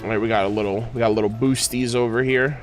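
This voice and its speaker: A man giving casual livestream commentary, rambling and halting as he thinks aloud. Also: medium pitch, steady tone. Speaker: streamer. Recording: music playing behind voice. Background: music.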